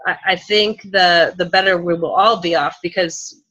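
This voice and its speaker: A woman speaking as a panelist in a spontaneous discussion, earnest and reflective, with halting phrasing. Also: lowish voice, fairly even intonation, a bit bright, dull audio.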